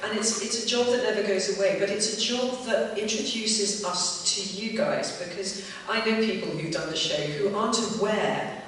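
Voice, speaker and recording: A woman's voice: medium pitch, normal speed, recorded from a distance, a little hiss or hum. Chatty woman in echoey theatre. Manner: chatty, many restarts, animated anecdotes. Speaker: panel guest, actor. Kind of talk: convention panel chat. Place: large theatre stage. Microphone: distant hall pickup.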